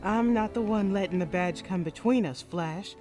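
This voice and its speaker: A woman's voice: medium pitch, normal speed, mellow, faint music behind, lightly acted. Woman as proud, folksy Old West lady sheriff. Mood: firm, hurt. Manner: folksy, firm cowgirl talk. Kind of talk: western comic audio drama.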